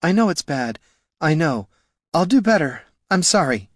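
A man's voice: medium pitch, big pitch swings, measured pace, dry recording, clean studio sound, pitched above the performer's own voice. A man voicing a drunken female elf ranger for an audiobook, slurred, rambling and woozily insistent. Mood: sick, apologetic.

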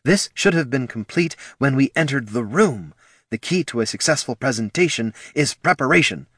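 A man's voice: medium pitch, expressive, normal speed, a bit bright, slightly rough, no echo, studio quality, pitched above the performer's own voice. A man voicing a stern, impatient female orc matriarch with a curt, haughty manner. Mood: scornful.

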